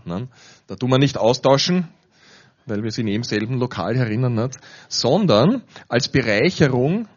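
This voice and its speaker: An Austrian man hosting at a church, chatting colloquially as he introduces and interviews a guest. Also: medium pitch. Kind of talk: informal live interview and announcement. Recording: dull, lo-fi sound.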